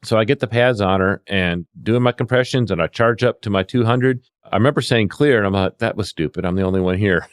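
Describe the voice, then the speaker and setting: Low-voiced man telling field stories. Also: fairly quick, hardly any pauses, no room sound, studio quality. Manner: acts out exchanges with quoted dialogue. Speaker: paramedic and CPR instructor telling field stories. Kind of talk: animated anecdotal storytelling in conversation.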